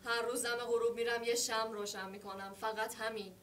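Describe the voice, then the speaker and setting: An actress playing a lonely young woman waiting for her brother, speaking in a theatrical monologue of short, halting phrases. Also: on the high side, flat delivery, slight background noise, lightly acted. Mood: hushed, wistful. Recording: roomy sound from a distant mic.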